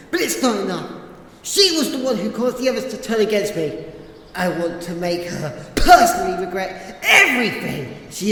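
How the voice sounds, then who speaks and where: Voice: medium pitch, roomy sound, in a large hall, a little hiss or hum, low-quality recording. Zekrai, an actor doing a bitter young male exile. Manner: resentful, swinging to loud defiant shouts. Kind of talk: amateur audio drama acting.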